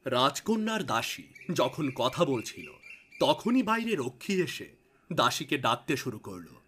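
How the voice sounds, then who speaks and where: A man's voice: mid-range voice, big pitch swings, unhurried, fairly bright tone, a little hiss or hum. A man reading scripted fairy-tale narration for a cartoon.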